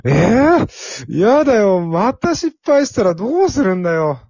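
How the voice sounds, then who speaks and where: A man's voice: high-pitched, sing-song, measured pace, creaky, darkish tone, husky, no room sound, muffled recording, exaggerated voice. A man playing a timid, earnest young office worker in an amateur radio drama, with plain boyish phrasing. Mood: protesting.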